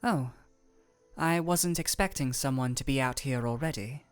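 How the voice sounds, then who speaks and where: A woman performs a cool, reserved ice royal with a low voice. The speech is formal and measured, with a deadpan, regal detachment, in the style of a fantasy ASMR roleplay. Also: clear, resonant tone, soft background music. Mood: cool, matter-of-fact.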